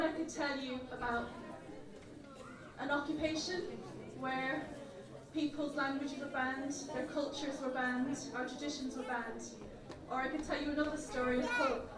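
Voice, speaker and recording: A high-voiced woman giving a speech to a gathered audience, telling stories earnestly and pausing with ums and uhs. She is recorded from far off in a very echoey hall, with the crowd audible behind her. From Ireland. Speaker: community group chair giving a speech. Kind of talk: public speech at a community event. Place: large echoey event hall.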